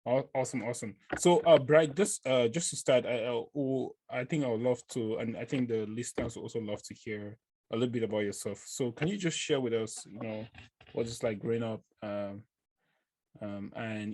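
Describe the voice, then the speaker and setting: A man hosting a podcast, introducing and questioning a guest in a halting, friendly way with many fillers. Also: on the low side, a little mellow. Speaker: podcast host. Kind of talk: casual podcast interview.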